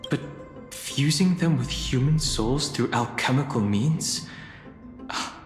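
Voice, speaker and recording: An actor playing a calm, analytical young traveler in a fantasy game, speaking in a measured, formal and reserved way. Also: male voice, mid-range voice, lightly acted. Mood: pensive. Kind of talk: scripted fantasy game voice acting. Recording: echoey long reverb, game music behind. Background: game music.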